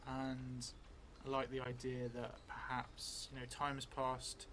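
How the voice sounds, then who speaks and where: A middle-aged man improvising a story for a solo tabletop game, haltingly thinking aloud and dictating as he writes. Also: mid-range voice, completely flat delivery, fairly bright tone. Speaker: solo role-playing streamer. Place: indoor theatre room, seated at a table. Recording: clearly noisy room recording.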